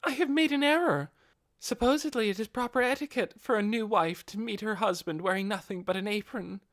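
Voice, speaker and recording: Man putting on a high-pitched, devoted fantasy woman. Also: brisk, a bit bright, dry recording, studio quality. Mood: excited, dramatic. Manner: formal, devoted, gushing. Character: adoring, eager female servant of an undead lord.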